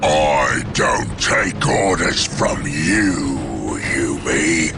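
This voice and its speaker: A man voicing a brutish, war-hungry ork warboss in a video game, guttural and boastful, shouting in crude broken grammar. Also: very deep voice, expressive, drawn-out words, over loud music, heavily acted. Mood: belligerent. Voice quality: guttural, growling. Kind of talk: video game cutscene acting.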